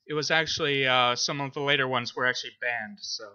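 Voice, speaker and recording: Bright, sharp-voiced man, halting talker. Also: medium pitch, steady tone, clean tone, lo-fi sound. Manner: hesitant, halting delivery with very frequent ums and uhs. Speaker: podcast co-host. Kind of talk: informal book discussion.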